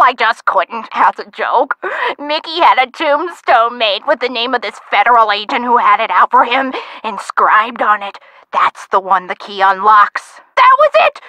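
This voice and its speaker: A woman playing a feisty, sharp-tongued elderly woman who tells theatrical stories of her youth in a video game. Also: a little high, no echo, full character voice, poor audio. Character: feisty, sassy elderly woman, nostalgic for the twenties. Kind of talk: video game character acting.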